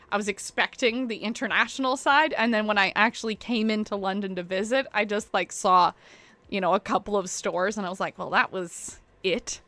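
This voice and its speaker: Young woman streamer, bright, crisp voice, dry close sound, rambling self-deprecating chatter. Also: mid-range voice, dry recording. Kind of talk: casual chat while waiting on a stream.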